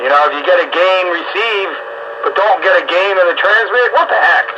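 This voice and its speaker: A man's voice: high voice, brisk, highs cut off. A man chatting casually as an amateur radio operator, blunt and exasperated, puzzling aloud over a band-limited radio link. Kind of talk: casual on-air radio chat. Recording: band-limited radio transmission, loud static noise.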